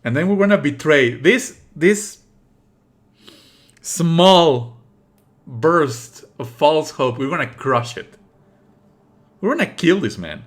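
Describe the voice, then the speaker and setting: A man's voice: a little high, animated, slow pace, long pauses. A man doing live let's play commentary as a streamer, emphatic, exasperated and prone to swearing.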